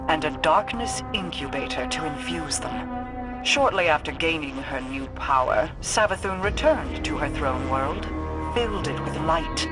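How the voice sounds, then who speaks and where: A woman voicing a regal, secretive queen in a video game, speaking in a measured, formal, commanding way over loud orchestral music. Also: low-pitched. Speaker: queen guiding the player. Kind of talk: video game cutscene dialogue. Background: loud orchestral game score.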